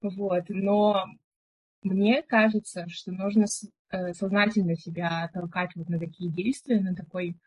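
A young woman co-hosting a podcast, telling stories in a chatty, relaxed way with lots of filler words. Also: medium pitch, unhurried, breathy edge. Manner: chatty storyteller, many fillers. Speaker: podcast co-host. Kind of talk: casual chat. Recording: dull, muffled recording.

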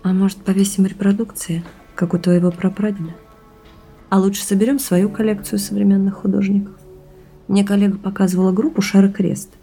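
A woman's voice: mid-range voice, flat delivery, a little fast, mellow, soft background music, natural voice. An actress playing a candid, reflective woman in an audio drama, talking in a confiding, opinionated way. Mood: intimate, musing. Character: candid, reflective woman, intimate partner hoping for motherhood.

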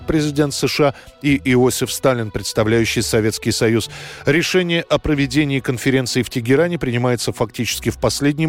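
A man narrating a scripted history programme in a measured, storytelling way. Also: mid-range voice.

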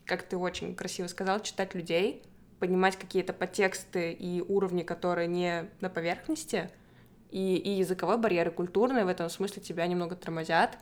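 A woman's voice: lowish voice, fairly even intonation, brisk. A young woman hosting a casual conversation, framing long thoughtful questions. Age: young adult. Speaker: podcast host.

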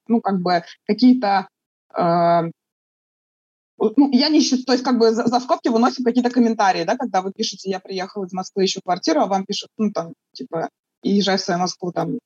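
A woman from Russia answering interview questions in a thoughtful, halting way, with many fillers and restarts. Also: medium pitch, darkish tone, breathy edge, no room sound, lo-fi sound.

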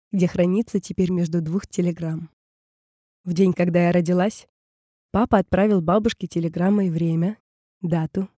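A woman reading a scripted personal story in a measured, reflective way, in short phrases. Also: on the low side, dark tone, dry recording, studio quality. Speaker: narrator of an audio performance. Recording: music bed under the voice. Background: music.

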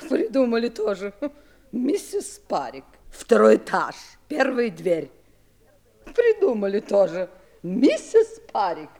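Huffy prickly spinster, dark tone, hugely varied pitch, slow indignant muttering. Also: female voice, wildly swooping pitch, exaggerated voice. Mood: indignant, grumbling. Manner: indignant, muttering, drawn-out emphatic delivery. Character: huffy, prickly unmarried lady, easily offended. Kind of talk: theatrical 1960s audio play acting.

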